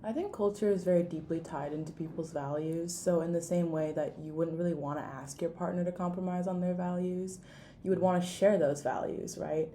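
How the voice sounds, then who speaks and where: A young woman with a slightly low voice who reasons in fluent, measured sentences. A faint rumble sits behind her. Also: fairly even intonation, warm tone. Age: young adult. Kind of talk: casual discussion.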